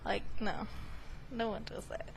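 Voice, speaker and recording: A woman's voice: a little slow, slight background noise, poor audio. A young woman chatting casually over a game playthrough, giggly, playful and rambling. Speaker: gamer doing a video game playthrough. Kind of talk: casual chat over gameplay.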